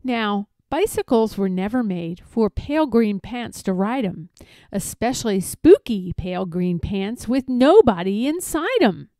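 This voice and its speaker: A woman reading rhyming children's stories aloud in an expressive, theatrical storytelling manner. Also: mid-range voice, measured pace, dry recording, studio quality. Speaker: storybook reader.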